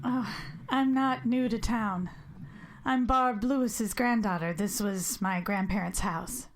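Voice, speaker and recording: Guarded hurt lady in a radio play, dry n sarcastic, voice a bit high. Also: takes it slowly. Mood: guarded, uneasy. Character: guarded, wounded, sardonic woman rebuilding her life. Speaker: actress in audio drama. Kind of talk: naturalistic radio drama acting.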